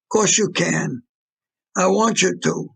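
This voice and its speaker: A man acting in an audio play as an irritable, menacing mob fixer boyfriend, with blunt, slangy talk and a gravelly, husky voice. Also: high-pitched, a little slow, a bit bright, heavily acted. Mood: defensive.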